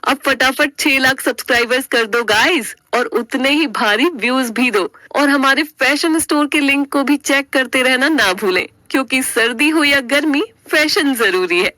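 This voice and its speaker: A woman's voice: sits high, moderate pace, few pauses, sharp tone, no room sound, rough recording. A woman storyteller giving a dramatic scripted narration of a family story.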